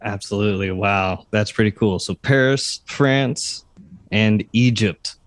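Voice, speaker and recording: A man's voice: on the low side, unhurried, no echo. A man hosting a podcast interview, affirming his guest and steering the conversation along. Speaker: podcast host.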